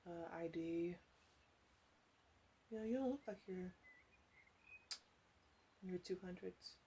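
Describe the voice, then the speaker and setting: A woman playing a flirty, teasing witch in an ASMR roleplay, coaxing the listener softly with playful asides. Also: on the low side, slow pace, a little hiss or hum. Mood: casual, teasing. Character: teasing, flirty witch in her three hundreds.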